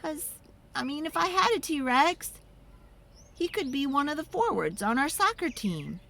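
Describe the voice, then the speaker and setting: A woman voicing an eager, pleading young child in an excitable, dramatic storybook read-aloud. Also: high voice, gravelly, heavily acted. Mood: eager, boastful. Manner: wheedling, excitable, dramatic, drawn-out emphasis.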